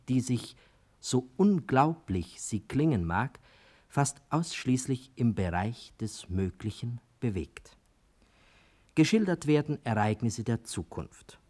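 A man with a mid-pitched voice narrates as a 1950s radio presenter. He speaks formally from a script and shapes his phrases deliberately, with clear emphasis. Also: darkish tone. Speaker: presenter giving the recap.

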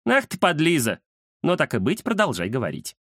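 A man's voice: a little high, huge pitch swings, a little fast, a bit bright, dry recording, clean studio sound, voice pushed up, heavily acted. A man voicing a grumpy, bossy female cat who scolds and threatens haughtily in a children's story. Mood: gruff, grudging.

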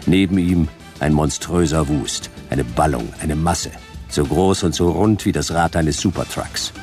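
An actor playing a laconic, weary hard-boiled detective, narrating and speaking in terse deadpan in a radio play. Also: male voice, a bass voice, a little slow. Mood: tense, awed. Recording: radio play over loud backing music.

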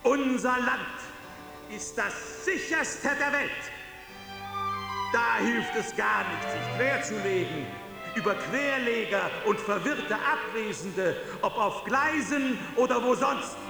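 An actor playing a pompous, self-satisfied ruler declaiming a political speech in a radio play. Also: male voice, very high voice, animated, vocal fry, exaggerated voice. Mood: grandiose pride. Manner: pompous, declamatory, slow oratory. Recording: long echoing hall reverb, loud music.

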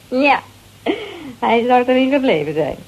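A woman's voice: a little high, dull audio, full character voice. An actress playing a brazen, flirtatious married woman who drinks sherry, teasing and mocking in a radio drama. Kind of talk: Dutch radio drama acting.

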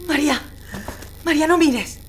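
A woman's voice: sits high, moderate pace, a little hiss or hum, natural voice. A woman acting in an audio drama as a kind traveler who soothes a frightened child in colloquial speech. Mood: urgent.

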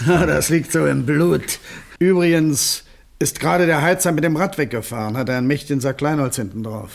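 Creaky, cheeky police technician. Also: male voice, a little high, moderate pace. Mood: wry, chatty. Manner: wry, chatty, deferential to his boss. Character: male junior police technician, cheeky, easygoing, obliging. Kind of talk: German crime radio play acting.

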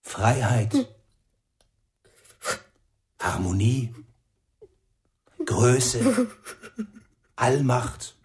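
Calm, unyielding man, mid-pitched. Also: exaggerated voice. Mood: stern, philosophical. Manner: measured, terse, unyielding. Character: calm, stoic male guardian of an addict. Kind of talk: German radio crime drama.

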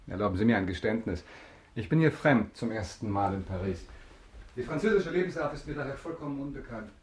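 A man's voice: medium pitch, expressive, slight background noise, natural voice. A man acting in a German radio play as a worldly, enigmatic count with formal, polished manners. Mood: urbane, self-assured. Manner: formal, polished, measured courtesy. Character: wealthy, worldly count, courteous, enigmatic, secretly vengeful.

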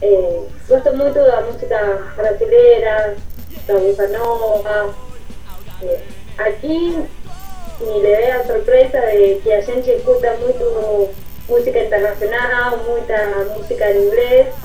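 A woman's voice: fairly high pitch, a little slow, dark tone, soft background music. Distant woman in an echoey room. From Argentina. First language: Spanish. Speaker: exchange student guest.

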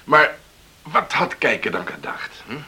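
An actor playing a gruff, wary boatman in a Dutch radio play, musing and echoing questions back. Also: medium pitch, sing-song, measured pace, sharp tone, full character voice.

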